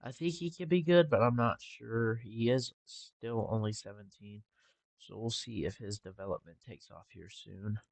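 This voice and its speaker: A young man talking casually over a game with a congested, stuffy nose and a relaxed, rambling manner. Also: on the low side, normal speed, vocal fry, no room sound. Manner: relaxed, rambling with filler ums. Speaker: gaming channel host. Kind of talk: casual gameplay commentary.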